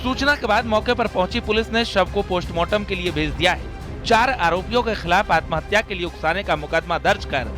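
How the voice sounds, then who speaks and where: Male reporter voice-over, high bright tone, grave measured reading, faint music. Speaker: field reporter. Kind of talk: scripted news voice-over.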